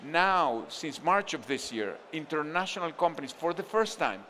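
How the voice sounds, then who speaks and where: A man's voice: fairly high pitch, lively intonation, normal speed, pushed and bright, a little hiss or hum. A man from Argentina speaking on a conference panel, thinking aloud with frequent restarts and fillers.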